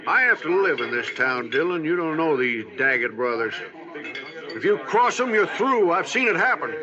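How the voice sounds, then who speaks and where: An American actor playing a stern, worried small-town constable giving warnings in an old radio western. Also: male voice, fairly high pitch, very animated, moderate pace. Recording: dull old radio recording, crowd noise.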